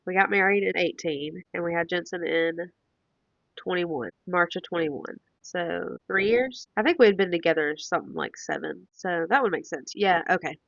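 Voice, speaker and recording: A woman in her late twenties with a deep, raspy voice, sick and congested, chatting casually as a podcast co-host who thinks aloud. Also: moderate pace, fairly bright tone, no room sound, muffled recording. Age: late 20s. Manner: chatty, thinks aloud while working things out. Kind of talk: casual chat. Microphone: close podcast mic.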